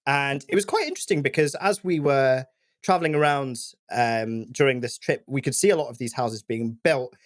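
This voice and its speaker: A man's voice: medium pitch, moderate pace, no echo, clean studio sound. A man co-hosting a podcast, telling stories casually with a slightly scratchy throat from a cold. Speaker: podcast co-host.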